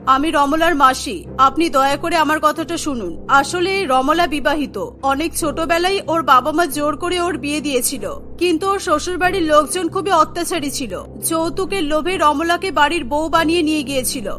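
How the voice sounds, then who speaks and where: A woman's voice: high voice, normal speed, few pauses, pushed and bright. A woman voicing an earnest, protective aunt who pleads and explains in a cartoon drama. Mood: earnest pleading.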